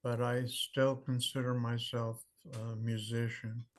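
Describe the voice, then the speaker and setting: A man in his late sixties answers interview questions at an unhurried pace. His voice is mid-pitched and reflective, and he lets his stories unfold slowly. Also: little pitch movement. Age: 60s. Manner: measured, reflective storytelling. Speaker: guest. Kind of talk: casual interview conversation.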